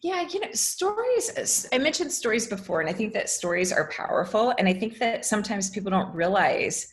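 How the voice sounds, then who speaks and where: A woman's voice: mid-range voice, very animated, a little fast. A woman giving conversational interview answers as a guest, telling anecdotes and chuckling as she talks. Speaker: podcast guest, educator and author.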